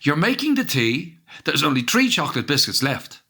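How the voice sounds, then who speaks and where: A man's voice: fairly high pitch, very animated, normal speed, bright, forceful tone, slightly rough, heavily acted. A man playing a prim, theatrical TV game show host in a comedy radio drama, formal and patronising. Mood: prim, insistent.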